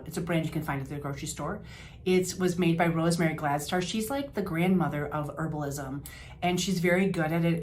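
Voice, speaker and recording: A woman's voice: fairly low pitch, darkish tone, slight background noise. An older woman, a health practitioner giving casual wellness advice in a homey, chatty way with fillers and restarts. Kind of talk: informal health advice talk to camera.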